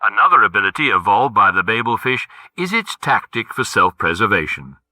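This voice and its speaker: Learned comic narrator, deadpan wit, lively intonation, articulate, radio sci-fi guidebook style. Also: male voice, mid-range voice, no echo. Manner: deadpan, articulate, measured phrasing.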